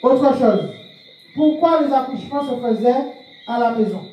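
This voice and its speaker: A man's voice: very high voice, measured pace, mellow. A man giving a public talk on spiritual practice, questioning the audience and repeating key phrases. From France. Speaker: speaker of a spiritual movement. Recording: very echoey room, far from the mic, faint noise.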